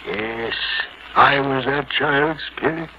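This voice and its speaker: Male actor, querulous old miser, slow halting delivery, stammering, theatrical 1950s radio acting, dull old broadcast sound. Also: mid-range voice, a little hiss or hum, natural voice. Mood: wistful.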